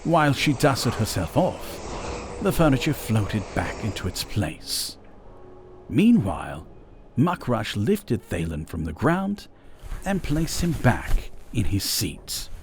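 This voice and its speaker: A man narrating a fantasy audio drama in a measured, deliberate, dramatic storytelling manner. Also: medium pitch, huge pitch swings, slight background noise. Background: music.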